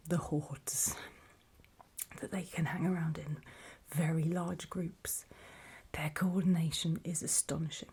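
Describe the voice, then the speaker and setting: Woman performing female survivor, horror ASMR roleplay, heavily breathy whisper, soft dark tone, grave, weary, ominous. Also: deep voice, full character voice. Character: grave, weary female apocalypse survivor briefing others on zombies.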